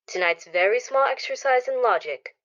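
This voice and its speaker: A woman's voice: fairly high pitch, animated, normal speed, a bit bright. A woman playing the solemn narrator of an eerie moral tale, in scripted radio drama style. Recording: dull lo-fi audio.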